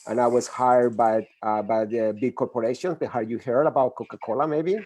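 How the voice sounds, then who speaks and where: A man's voice: medium pitch, fairly even intonation, a little fast, darkish tone. A middle-aged man from Colombia with a Colombian accent, a Spanish speaker talking English earnestly as a guest expert in an interview, with many restarts. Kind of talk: conversational interview.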